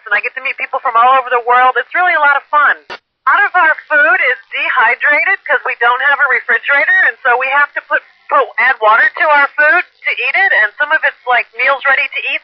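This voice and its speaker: A man's voice: very high voice, dry recording. A man speaking as an astronaut over a two-way radio, answering questions in a casual, explanatory way. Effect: two-way radio transmission. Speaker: astronaut aboard a space station answering questions. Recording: muffled lo-fi amateur radio link, hissy static.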